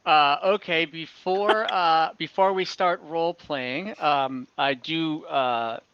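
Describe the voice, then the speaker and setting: A man's voice: fairly high pitch, slow pace, a bit bright, smooth. A man casually narrating a tabletop game as game master over a video call. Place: home room, indoors, on video call. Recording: dull, lo-fi video-call audio.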